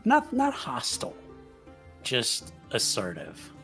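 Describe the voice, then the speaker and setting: A man's voice: mid-range voice, huge pitch swings, takes it slowly, a bit bright, faint music behind. A man playing in a tabletop roleplaying game, joking dryly and restarting his words often. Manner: dry joking, stammering restarts. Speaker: player.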